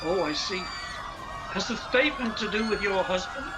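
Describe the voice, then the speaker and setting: A man playing a brisk, commanding Scotland Yard inspector in a live radio play. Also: high-pitched, expressive, loud music behind the voice, poor audio. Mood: brisk, questioning.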